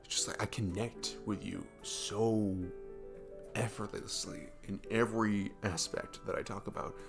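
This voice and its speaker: Man playing a low-voiced, affectionate, rambling best friend. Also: gravelly, heavily acted. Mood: sincere, admiring. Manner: rambling, gushing, informal, chuckling between phrases. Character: goofy, affectionate young man smitten with his best friend. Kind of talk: romantic ASMR roleplay audio, casual improvised-sounding chat.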